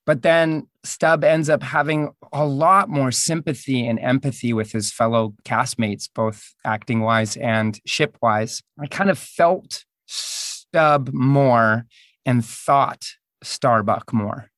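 A man's voice: mid-range voice, fairly even intonation, slow pace, a bit bright, dry recording, studio quality. A man speaking as an interviewed actor, thoughtfully explaining his craft in a reflective conversation. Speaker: voice actor interviewed about playing roles in an audio drama.

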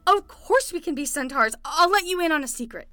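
Casting: woman as teen girl sitter, slightly high voice, playful and teasing, dry audio drama sound. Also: expressive, brisk, pushed and bright, no echo. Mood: playful, enthusiastic. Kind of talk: fiction podcast acting.

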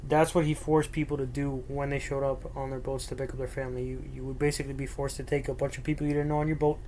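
A man's voice: medium pitch, little pitch movement, fast talker, warm tone, a little hiss or hum. A young man from Miami whose first language is Spanish, telling a personal story alone in his bedroom in an earnest, rambling way. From Miami, United States. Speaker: podcast host. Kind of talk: solo personal storytelling monologue. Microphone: laptop microphone.

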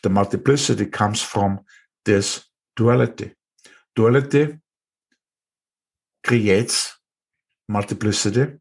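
Austrian man interviewing, low husky voice, reflective, repeats himself for emphasis. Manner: musing, repeats phrases, drawn-out emphasis. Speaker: host.

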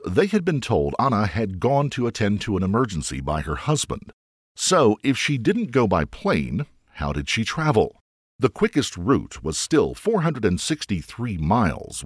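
A man's voice: fairly low pitch, breathy edge, dry recording, clean studio sound. A man narrating a scripted true crime story in a dramatic storytelling manner, posing rhetorical questions.

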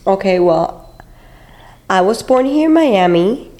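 A woman, chatting as a co-host in a halting, slightly shy way. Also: medium pitch, lively intonation, dark tone. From Puerto Rico. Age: 50s. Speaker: podcast co-host. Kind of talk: casual chat, storytelling.

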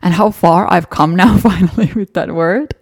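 A woman's voice: on the low side, moderate pace, breathy edge, no echo, studio quality. A woman with a Finnish accent, a native Finnish speaker, hosting a solo podcast and talking through past episodes in an earnest, encouraging way. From Finland. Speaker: podcast host.

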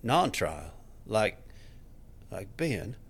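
A man's voice: lowish voice, sing-song, drawn-out words, creaky, a little hiss or hum, heavily acted. An actor playing a polite, curious male colleague in a fiction podcast, speaking carefully and hesitantly. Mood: measured. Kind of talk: scripted fiction podcast acting.

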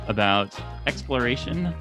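A man's voice: mid-range voice, lively intonation, measured pace, music in the background. A man co-hosting a podcast, talking casually as he interviews guests. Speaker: podcast co-host.

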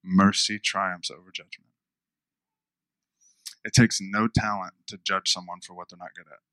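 A man preaching a conversational sermon, repeating phrases for emphasis, with a smooth radio-style voice. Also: low voice, level tone, normal speed, pushed and bright, no echo, rough recording. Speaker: pastor.

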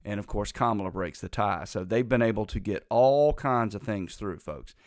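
A man's voice: fairly low pitch, moderate pace. A middle-aged man hosts a talk show, his voice a bit congested from a cold. He rambles in a chatty, folksy way and keeps calling his listeners "folks." The sound is dry and close, with no room echo. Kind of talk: casual political talk monologue.

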